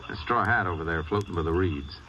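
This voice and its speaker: An actor playing a calm, terse Texas Ranger with an American accent in an old radio drama, matter-of-fact in dialogue and narration. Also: male voice, deep voice, fast, lightly acted. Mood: observant, matter-of-fact. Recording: noisy vintage radio recording.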